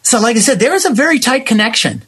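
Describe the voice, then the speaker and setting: High-pitched, husky man telling stories. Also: fast. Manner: expressive storytelling, acts out imagined quotes. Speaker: interview guest, theater writer and director. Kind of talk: animated conversational interview.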